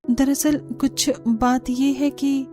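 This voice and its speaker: A woman's voice: high-pitched, flat delivery, slow, mellow, faint music behind. A woman voicing an earnest, uneasy but firm young daughter-in-law in a cartoon. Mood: hesitant, uneasy. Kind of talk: cartoon voice acting.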